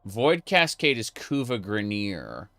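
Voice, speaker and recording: A man's voice: lowish voice, wildly swooping pitch, slow, fairly bright tone, no echo. A man streaming a late-night gaming chat, rambling casually with lots of fillers and restarts. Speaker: streamer and video creator.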